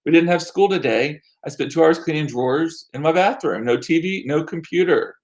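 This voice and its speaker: A middle-aged American man chatting casually as a livestream host, rambling along with self-deprecating asides and animated, repeated words for emphasis. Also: on the high side, moderate pace. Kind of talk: casual chat to live viewers. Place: small home room used as studio.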